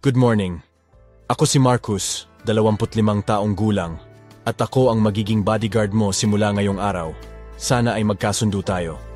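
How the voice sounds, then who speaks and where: Casting: man voicing a curt young bodyguard for an audio drama, low voice, formal and unsmiling. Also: moderate pace, faint music behind, close to an everyday voice. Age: 20s. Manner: formal, unsmiling, flat delivery. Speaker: voice actor.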